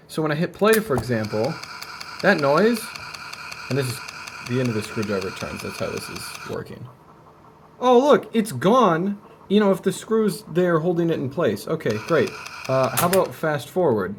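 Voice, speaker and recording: A young man with a mid-range voice talks through hands-on work in a casual vlog style. His delivery is deadpan and slangy, with a wry edge. There is faint noise behind him from a handheld recording indoors. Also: mid-range voice. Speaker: video creator. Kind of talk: casual hands-on repair vlog, narrating as he works. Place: indoor room at a desk.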